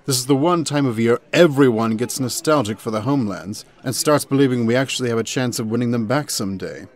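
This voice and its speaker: Vain smooth-talking prince male actor: suave, self-satisfied, mid-pitched, comic dub. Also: fast, lightly acted. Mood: smug, persuasive. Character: smug, scheming charming prince, vain smooth talker.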